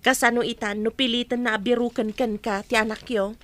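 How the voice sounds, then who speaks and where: A woman's voice: a little high, steady tone, a little fast, fairly bright tone, close to an everyday voice. A woman with an Ilocano Filipino accent playing an inquisitive, frank friend in a radio drama. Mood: concerned.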